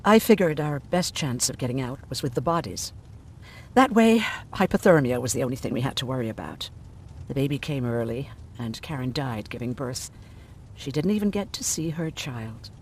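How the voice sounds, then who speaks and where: An actor playing a blunt, sardonic, weary survivor of a machine war in a video game. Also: male voice, mid-range voice, animated, normal speed, a little mellow, slight background noise, lightly acted. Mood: grave recounting.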